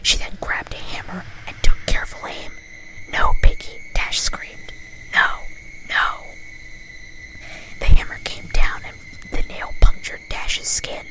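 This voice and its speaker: A woman's voice: moderate pace, rough recording. Whispering woman reading a story. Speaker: amateur story reader.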